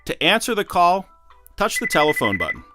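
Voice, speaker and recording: A man's voice: high voice, huge pitch swings, fairly quick, over music. A man narrating a how-to product demonstration, explaining methodically step by step.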